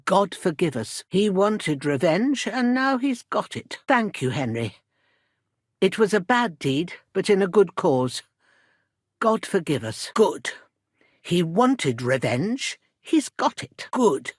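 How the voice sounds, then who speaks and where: Husky, slow-spoken old village mother. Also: on the low side, sing-song, no echo, studio quality, full character voice. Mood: grim, subdued. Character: worried old village herbalist mother, plain-spoken and grieving. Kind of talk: dramatic video game dialogue.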